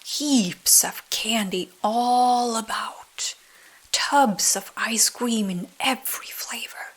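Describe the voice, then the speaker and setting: A woman storyteller speaks in a soft ASMR manner. Her voice is fairly high, breathy and unhurried, often dropping to a whisper. Rain patters behind her. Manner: slow, soothing.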